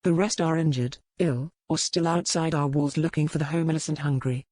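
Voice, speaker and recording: A man playing a bitter, loyal medieval knight in an audiobook, speaking formally and gravely. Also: fairly high pitch, fairly even intonation, a little fast, darkish tone, no echo, lightly acted. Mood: grave, urgent. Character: bitter, hostile, loyal medieval knight advising his lord. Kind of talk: dramatized audiobook dialogue.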